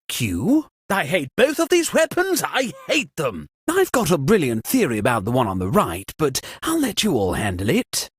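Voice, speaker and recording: A frazzled posh host in a murder mystery game, super swoopy and dramatic, kinda raspy, fed up. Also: mid-range voice, no room sound, studio quality. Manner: theatrical, fretful, dryly exasperated. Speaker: game character voice lines. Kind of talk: theatrical comedic game voice acting.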